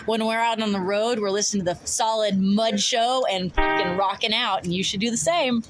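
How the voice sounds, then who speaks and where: A woman musician giving a casual station ID shout-out for a radio show. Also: medium pitch, fairly even intonation, moderate pace, music in the background.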